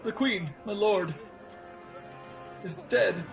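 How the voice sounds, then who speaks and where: A man's voice: high voice, takes it slowly, creaky, soft background music, full character voice. An actor playing an anxious, formal, deferential servant to a king in a Shakespearean audio drama. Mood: grave.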